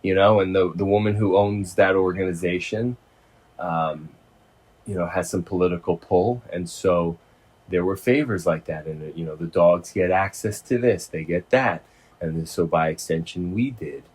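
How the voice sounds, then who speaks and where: A middle-aged man in a casual phone conversation, speaking informally and haltingly, with some swearing. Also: deep voice, a little mellow. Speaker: host, former prisoner.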